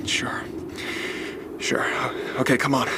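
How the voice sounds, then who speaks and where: An actor playing a blunt, urgent young baronet who is both frightened and commanding in a radio drama. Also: male voice, slow pace, in a whisper, close to an everyday voice. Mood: shaken. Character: hot-headed young male baronet, frightened yet commanding.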